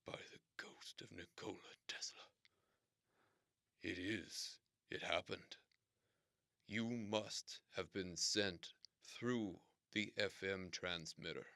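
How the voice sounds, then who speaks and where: A deep-voiced, pompous radio boss, played by a man, who lays out events slowly and formally. His tone is bright and crisp, recorded close and dry with no room sound around it. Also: dry recording, exaggerated voice. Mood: awed. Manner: formal, slow, explanatory, theatrical oaths. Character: grandiloquent male radio station boss. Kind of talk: comedic audio drama acting.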